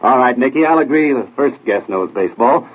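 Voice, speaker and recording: An American actor playing a confident, urbane young detective in a 1940s radio drama, brisk and self-assured. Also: male voice, mid-range voice, animated, husky, narrow, tinny audio. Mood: genial hosting. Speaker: detective drama lead and quiz host. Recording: noisy, band-limited 1940s radio recording.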